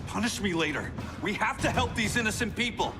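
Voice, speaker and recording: A man's voice: high voice, animated, fairly quick, over music. An actor playing a young, earnest male speedster hero who pleads with a former friend. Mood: pleading. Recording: loud game score behind.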